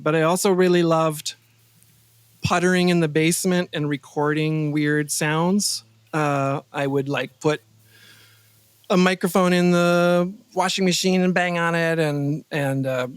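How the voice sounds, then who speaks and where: A man's voice: fairly high pitch, fairly even intonation, unhurried, smooth, dry recording. An American man talking casually as a podcast guest, rambling and playfully breaking off his own thoughts. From Wisconsin, United States. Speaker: podcast guest, composer and sound designer. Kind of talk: casual interview conversation.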